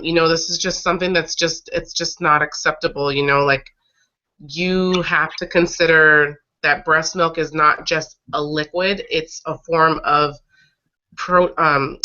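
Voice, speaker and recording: A woman chats casually as the host of a live online show, recounting stories in a halting way. Her voice is bright and forward, with a slight rasp from a cold she is getting over. The sound is dull, like a lo-fi video call. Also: deep voice. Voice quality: slightly raspy. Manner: halting, often pausing mid-sentence to regroup. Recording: dull lo-fi webcast audio.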